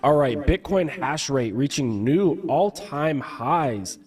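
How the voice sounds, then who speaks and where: A man with a raspy, cold-roughened voice, explaining crypto market analysis step by step as a guest analyst. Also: on the high side, expressive, music in the background. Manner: measured, explains step by step, asks himself questions. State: hoarse from a cold.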